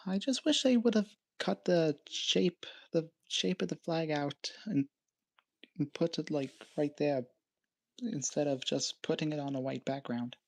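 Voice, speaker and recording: Low, gravelly-voiced woman streamer. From Canada. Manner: names items one at a time in short, unhurried phrases. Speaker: live streamer. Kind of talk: casual live stream show-and-tell.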